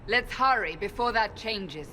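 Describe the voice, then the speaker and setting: A woman's voice: medium pitch, lively intonation, a little slow, heavy background noise, close to an everyday voice. An actress playing a stern, commanding, intense female warrior in a video game. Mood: firm, brisk. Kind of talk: dramatic video game acting. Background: loud dramatic game music.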